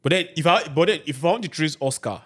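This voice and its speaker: Nigerian man telling animated stories. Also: on the high side, fast, husky. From Nigeria (Ibadan, Lagos). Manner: animated storyteller, acts out reactions. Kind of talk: casual chat.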